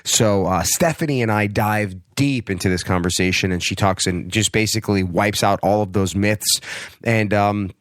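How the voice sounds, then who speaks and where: Podcast host, male, hoarse from a cold, lowish voice, dry close sound, chatty and rambling. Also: moderate pace, dry recording. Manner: rambling, many fillers and restarts. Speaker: podcast host, personal trainer. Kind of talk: casual podcast intro.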